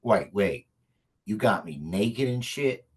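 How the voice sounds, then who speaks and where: A man's voice: lowish voice, expressive, takes it slowly, husky, heavily acted. A man playing a brash, foul-mouthed, emotional small-time hitman in a comedic script table read. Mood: indignant.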